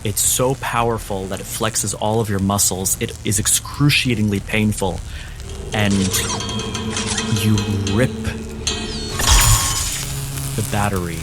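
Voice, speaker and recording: A man narrating a tabletop roleplaying game as game master, with deliberate, dramatic phrasing. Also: on the low side, fairly even intonation, over music.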